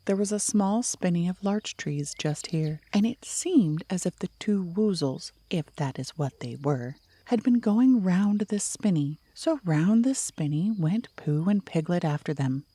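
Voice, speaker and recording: A woman's voice: fairly low pitch, expressive, unhurried, few pauses, warm tone, breathy edge, no echo. A woman reading a children's story aloud as an audiobook narrator.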